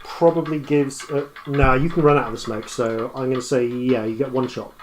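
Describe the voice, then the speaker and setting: A man running a tabletop roleplaying game, improvising his narration in a halting way as game master. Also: medium pitch, fairly even intonation, a little hiss or hum.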